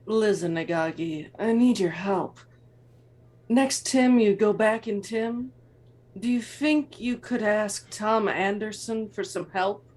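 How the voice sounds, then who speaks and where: A woman's voice: medium pitch, slow, a little mellow. A woman playing a crude, weepy goth woman teacher in an amateur fan fiction reading. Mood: urgent. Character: crying, potion-addicted goth woman teacher, crude-mouthed.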